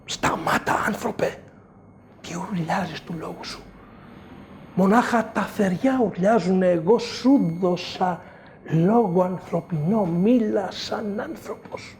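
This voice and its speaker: Old creator god, stern and slow, voice dropped low, done by a woman storyteller. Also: darkish tone, a little hiss or hum, natural voice. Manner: low, slow, measured scolding.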